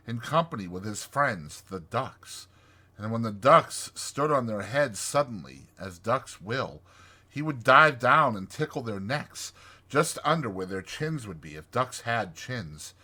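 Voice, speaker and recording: A man reading a classic storybook as an audiobook narrator, in an expressive scripted style. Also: medium pitch.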